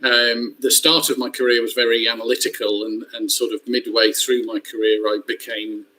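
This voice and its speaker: An older man speaks on an online call with faint noise behind him. His medium-pitched voice stays fairly flat, and he is wry and self-deprecating as he informally introduces himself as a co-presenter. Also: normal speed, rough recording. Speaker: course co-presenter. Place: online video meeting.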